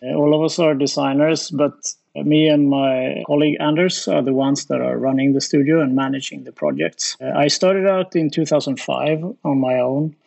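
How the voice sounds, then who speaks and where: Creaky-voiced Swedish man, fairly high. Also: fairly even intonation, moderate pace, a little mellow. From west coast of Sweden. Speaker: interview guest, studio founder and designer. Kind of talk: conversational interview answers.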